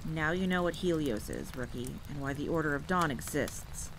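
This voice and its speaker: A woman playing an exasperated veteran monster hunter in an audio roleplay, wry and teasing, solemn when telling lore. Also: normal speed. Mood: solemn storytelling. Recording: steady rain and rumble behind. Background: rain, campfire ambience.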